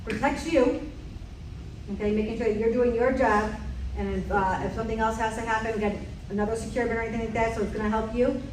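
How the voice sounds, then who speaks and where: A woman's voice: a little high, fairly even intonation, quick pace, darkish tone, a little hiss or hum. A woman running a transport staff safety meeting, quizzing the room in a direct way. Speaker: safety trainer. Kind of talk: leading a staff safety quiz. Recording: echoey room from far off.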